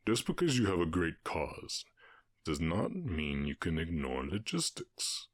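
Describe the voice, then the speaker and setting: A man voicing a calm, commanding orc warchief in an ASMR roleplay, with a husky voice and a measured, condescending, teasing manner. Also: no echo, studio quality, exaggerated voice. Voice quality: very deep, husky.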